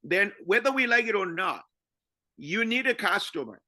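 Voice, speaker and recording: A man's voice: high voice, very animated, normal speed, bright, forceful tone, no room sound, clean studio sound. A man with a Zimbabwean accent giving his opinions conversationally as a podcast guest.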